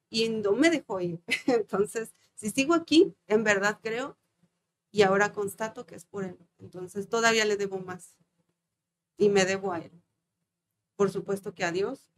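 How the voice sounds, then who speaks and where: A woman from Veracruz with a Mexican Spanish accent, a guest mother speaking heartfelt and soft-spoken in a casual interview. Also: mid-range voice, a little hiss or hum.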